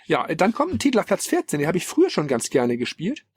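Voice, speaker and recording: A man's voice: a little high, wildly swooping pitch, fast talker, breathy edge, no room sound, clean studio sound. A man hosting a casual podcast, talking in an opinionated, emphatic, colloquial way. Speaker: podcast host presenting a ranking. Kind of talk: casual retro-gaming podcast talk.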